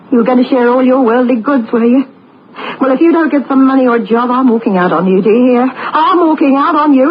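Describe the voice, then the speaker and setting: A woman acting a fed-up wife in an old radio play, bitter and scolding with a sarcastic edge. Her voice sits fairly high. The recording is dull, with faint noise. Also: natural voice. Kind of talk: vintage radio drama acting.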